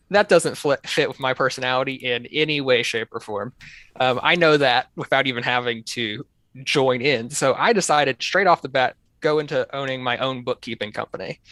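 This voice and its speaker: A man's voice: medium pitch, a bit bright, no room sound. Easygoing man on a dry mic. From North Carolina, USA. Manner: easygoing, rambling with fillers. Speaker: guest, software developer. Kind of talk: casual interview answers.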